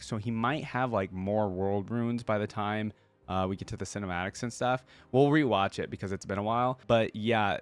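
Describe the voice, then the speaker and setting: A man's voice: lowish voice, no room sound. A man reading stories aloud and giving casual, chatty commentary as a reaction host. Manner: informal, chatty.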